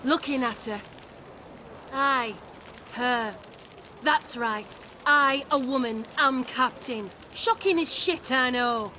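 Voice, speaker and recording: A brash salty ship captain. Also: female voice, high voice, takes it slowly, bright, forceful tone, slight background noise, full character voice. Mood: sardonic. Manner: brash and sardonic.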